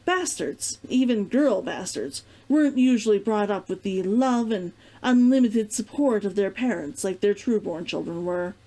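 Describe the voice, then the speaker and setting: A woman reading fiction aloud as an expressive storybook narrator. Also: medium pitch, normal speed, few pauses, rough recording. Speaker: reader of a fiction read-along.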